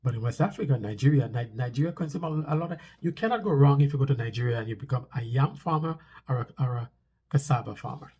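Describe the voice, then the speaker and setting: A man's voice: medium pitch, expressive, fast, dark tone, breathy edge. A man with a stuffy nose talks casually to viewers as a vlog host, explaining things conversationally and repeating phrases for emphasis.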